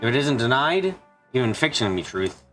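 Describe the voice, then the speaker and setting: A man's voice: mid-range voice, very animated, fairly quick, a bit bright, soft background music. A man reading visual novel lines aloud as a live amateur English dub, stumbling now and then over words and names. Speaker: streamer doing an English fan dub of a game. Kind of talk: live read-aloud dubbing of visual novel narration and dialogue.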